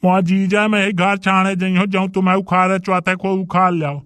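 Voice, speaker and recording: A man's voice: high voice, little pitch movement, fairly quick, fairly bright tone, smooth, no room sound. A man voicing a blustering, argumentative rustic in a village comedy cartoon, with a Bundelkhandi accent. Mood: defiant, threatening. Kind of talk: dialect comedy cartoon dialogue.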